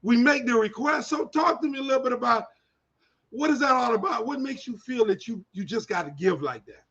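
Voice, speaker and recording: A man hosting a talk show, praising his guest with warm repetition and stumbling restarts. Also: extremely high pitch, animated, a little fast, creaky, lo-fi sound. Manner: effusive, repeats words, praising guest. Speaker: show host. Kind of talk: casual interview chat.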